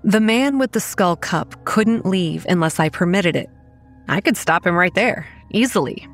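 An actress playing a wary, anxious young campground manager who narrates a horror audio drama in the first person. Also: female voice, lowish voice, expressive, a little slow, soft background music. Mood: wary narration.

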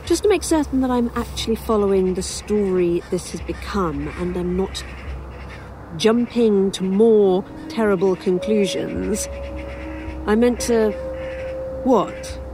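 A woman's voice: mid-range voice, a little slow, dark tone, a little hiss or hum, natural voice. Actress as a sarcastic British dead woman writer. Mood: indignant, bitter. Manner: dry, sarcastic, wry. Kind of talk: audio horror drama acting.

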